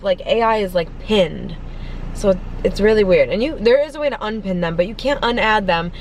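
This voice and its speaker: A young woman chatting casually in a parked car, talking fast and filling her talk with "like". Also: mid-range voice, slight background noise. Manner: chatty, filler-heavy, lots of "like". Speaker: vlogger. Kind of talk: casual car mukbang chat. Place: parked car at a drive-through.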